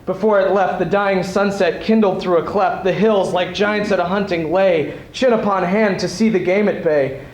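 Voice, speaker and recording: Young male, dramatic poetry recital, declamatory delivery, high pitch, echoey room. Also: normal speed, few pauses. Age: young adult. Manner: theatrical, declamatory. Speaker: student speech competitor. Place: school event stage before an audience. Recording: echoey hall.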